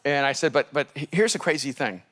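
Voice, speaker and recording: Bright animated man telling a story. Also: mid-range voice, fairly quick. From El Salvador. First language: Spanish. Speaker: pastor. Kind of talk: storytelling sermon.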